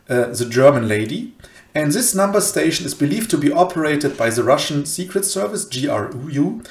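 A man gives an informal explainer over slides. His voice sits at an ordinary pitch, and the room adds a clear echo around it. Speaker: presenter of an educational cryptology channel. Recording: roomy, echoey home recording.

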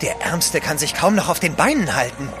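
An actor playing an excitable, kindly French manservant in a radio drama. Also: male voice, a little high, brisk, over music, lightly acted. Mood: startled, concerned.

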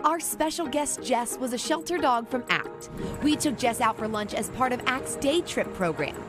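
High-pitched upbeat woman TV reporter. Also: animated, moderate pace. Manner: polished, upbeat broadcast delivery. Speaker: TV reporter and presenter. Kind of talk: scripted feature narration. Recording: music bed behind voice.

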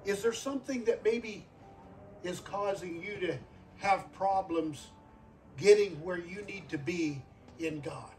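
High-pitched older man preaching, distant sound. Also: takes it slowly, soft background music. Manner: earnest, repeats phrases for emphasis, calls for amens. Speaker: guest preacher. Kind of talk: sermon with altar call.

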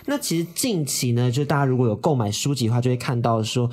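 A man's voice: medium pitch, quick pace, darkish tone. A man with a Taiwanese accent hosting a casual interview. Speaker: interviewer, show host. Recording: music under the voice.

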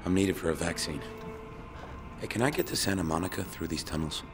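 An actor playing a weary, decent zombie apocalypse survivor in a video game, terse and understated. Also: male voice, deep voice, brisk, music in the background, lightly acted. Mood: subdued, earnest. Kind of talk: naturalistic video game acting.

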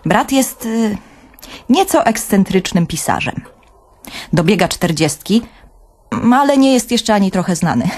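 An actress playing a wry younger sister who narrates a radio play. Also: female voice, medium pitch, lively intonation, unhurried, pauses often, darkish tone. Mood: wry, confiding. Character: wry, girlish younger sister telling her story.

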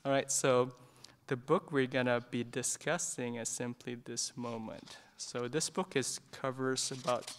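A man's voice: mid-range voice, creaky, clean tone. A middle-aged man from Indonesia, a Buddhist monk, leading an informal book discussion with many fillers and restarts, speaking into a stand microphone in a meditation hall. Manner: hesitant, many fillers and restarts, gentle and soft-spoken. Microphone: stand microphone in front of his chair.

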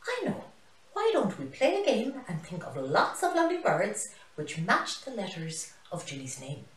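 A woman's voice: mid-range voice, huge pitch swings, moderate pace, recorded from a distance, pitched above the performer's own voice. A woman voicing a kindly, enthusiastic female tortoise teacher in a storybook. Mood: excited. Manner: enthusiastic, encouraging. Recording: roomy, echoey living room.

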